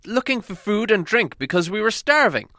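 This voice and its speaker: A man's voice: high voice, sing-song, normal speed, bright, forceful tone, dry recording, studio quality, pitched above the performer's own voice, full character voice. A man voicing a proud, starving dwarf prisoner from a storybook, stubbornly defiant and indignant. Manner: indignant, insistent.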